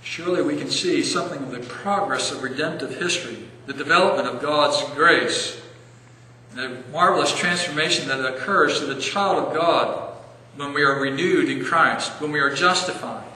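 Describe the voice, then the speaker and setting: A man in his forties preaching an earnest sermon as pastor, slowing down to stress key phrases, recorded in a church sanctuary. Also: a little high, sharp tone. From American. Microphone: stand mic a step away, filmed from far camera. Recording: echoey church hall, distant mic.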